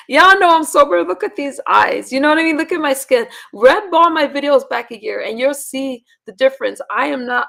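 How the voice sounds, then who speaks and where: Hoarse female stream host, very gravelly, chatty and rambling. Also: medium pitch, a bit bright. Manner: rambling, talks to the chat directly. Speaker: livestream host. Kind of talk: casual livestream chat.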